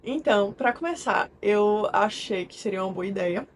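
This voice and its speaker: Casual book vlogger: Brazilian woman in her twenties, average pitch, informal and a bit self-conscious, light background noise. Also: animated, normal speed. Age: 20s. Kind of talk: casual chat to camera.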